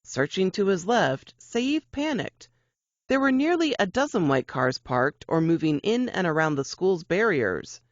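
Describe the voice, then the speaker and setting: A woman's voice: on the low side, big pitch swings, a little slow, no room sound. A woman narrates fiction with suspense and expression. Her intonation swings widely from line to line. The sound is dry, with a slightly muffled, lo-fi finish. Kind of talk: dramatic audiobook-style narration.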